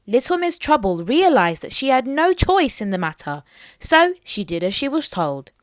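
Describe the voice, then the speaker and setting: A woman reading a children's picture book aloud as an expressive storyteller. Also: sits high, moderate pace, dry recording.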